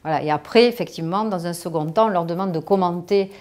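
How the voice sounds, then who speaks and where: A woman who facilitates at a social centre, explaining a voting method in a brisk, practical way. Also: on the low side, a little mellow.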